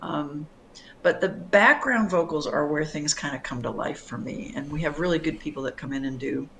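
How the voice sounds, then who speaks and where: A middle-aged woman singer-songwriter answering interview questions in a thoughtful, reflective way, often pausing and restarting. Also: deep voice, fairly bright tone, a little hiss or hum.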